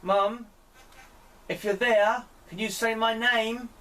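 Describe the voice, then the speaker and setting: A man speaking in deliberate, pleading short phrases, putting questions to a spirit as an amateur experimenter. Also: high voice, fairly even intonation, pushed and bright. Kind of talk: slow spoken questions addressed to a spirit. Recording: distant mic, clear background noise.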